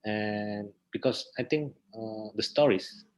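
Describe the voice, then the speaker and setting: A man with an Indonesian accent speaks as a guest in a casual interview. His voice sits on the low side. He builds sentences haltingly, repeating words before moving on. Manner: halting, repeats words, non-native English. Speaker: interview guest, theater researcher and actor.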